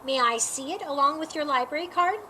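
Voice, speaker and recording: A woman's voice: high voice, a little fast, sharp tone. A woman voicing a polite, matter-of-fact schoolboy helping at a library desk in a storybook reading. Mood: businesslike. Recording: clearly noisy background.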